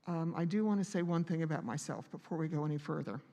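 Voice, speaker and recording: A man hosting a church meeting with a strained voice from a vocal cord node, talking haltingly with many pauses and fillers. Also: fairly high pitch, mellow. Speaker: meeting host. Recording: long hall reverb.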